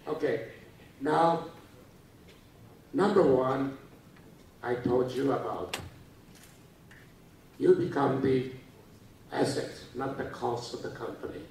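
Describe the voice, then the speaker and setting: A man's voice: mid-range voice, darkish tone, recorded from a distance, slight background noise. Older Taiwanese man speaking in an echoey hall. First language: Japanese. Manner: halting, with many uh fillers and restarts. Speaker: guest speaker. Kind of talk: lecture to students. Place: large lecture hall.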